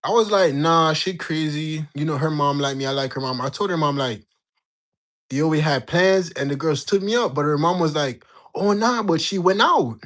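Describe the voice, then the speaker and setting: A young man in his late teens from Jamaica, telling a story in a slangy, animated way while bantering with his livestream chat. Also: a little high, moderate pace. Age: young adult. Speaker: livestream host. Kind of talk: casual storytelling to live chat.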